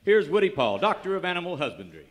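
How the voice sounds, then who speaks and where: An actor playing a showy program announcer in an old-time radio parody. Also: male voice, high voice, very animated, fast. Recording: noisy live stage with crowd.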